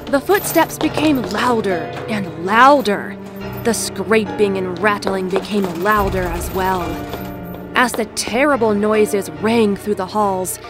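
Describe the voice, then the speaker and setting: A woman reading scripted story narration in a measured, storybook delivery, as the narrator of an audio drama. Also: medium pitch. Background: music.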